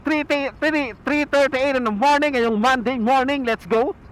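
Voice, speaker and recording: A man's voice: very high-pitched, unhurried, rough recording. A Filipino man chatting casually to himself as a motovlogger, rambling and repeating his words. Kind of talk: casual solo vlog chat while riding, with a cappella rap and singing. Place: outdoors on a moving motorcycle, inside a helmet. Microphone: action camera mic at the helmet. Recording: wind and vehicle noise inside helmet. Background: faint vehicle and road ambience.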